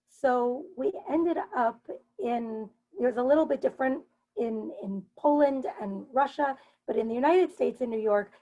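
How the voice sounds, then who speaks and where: Female professor on a panel, voice a bit higher than average, explaining carefully in a measured way. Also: a little mellow, rough recording. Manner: articulate, measured, explains terms as she goes. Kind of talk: explanatory academic talk.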